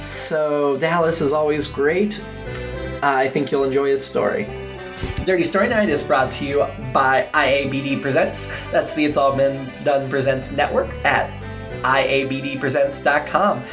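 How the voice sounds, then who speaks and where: A woman's voice: extremely low pitch, steady tone, normal speed. A woman hosting a podcast, reading an introduction and promo. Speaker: podcast host. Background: guitar music.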